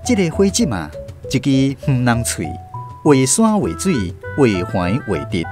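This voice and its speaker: A man with a Taiwanese accent narrating a literary radio drama in a folksy, expressive storytelling style. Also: medium pitch, unhurried. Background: instrumental music.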